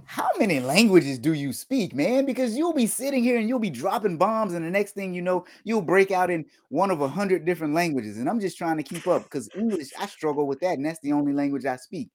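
A man's voice: on the high side, expressive, a little fast, a little mellow. A man hosting a live podcast, joking casually with his guest and reading out viewer questions. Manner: joking, conversational. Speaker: podcast host. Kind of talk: casual live-stream chat.

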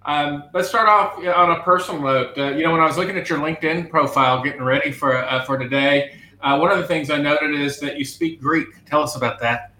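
A man's voice: fairly high pitch, a little fast, bright, forceful tone, slight background noise. A man hosting an interview over a video call, rambling through his questions. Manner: rambling, many fillers and restarts. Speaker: podcast host. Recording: roomy echo.

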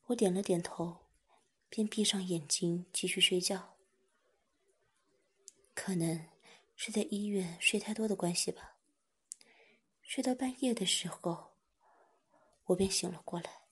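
A woman reading a first-person story aloud in a soft whisper. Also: fairly low pitch, a little slow, pauses often, breathy edge.

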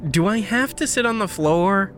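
An actress playing an earnest, doting, stubbornly hopeful cat lover in a naturalistic audio drama. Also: female voice, medium pitch, animated, normal speed, close to an everyday voice. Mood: stubborn, sincere. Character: earnest, affectionate, stubbornly hopeful cat-loving magic user. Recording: music under the voice.

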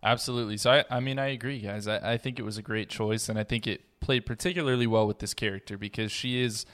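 A man's voice: fairly low pitch, fairly even intonation, fast talker, a bit bright. A man hosting a casual roundtable podcast discussion in a conversational way. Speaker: podcast host.

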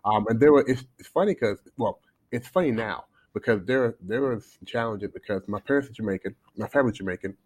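A man in his forties with a North American accent speaks as a guest on a podcast. His voice sits fairly low, and he tells his story in a conversational way. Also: fairly quick. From Canada, then United States from age eight. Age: 40s. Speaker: life coach, podcast guest.

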